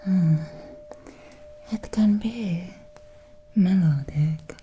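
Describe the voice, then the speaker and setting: A woman playing an ancient, possessive female vampire in an ASMR roleplay, speaking in a breathy, seductive whisper with lingering, deliberate pauses. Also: fairly low pitch, dark tone, a little hiss or hum. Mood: curious, seductive.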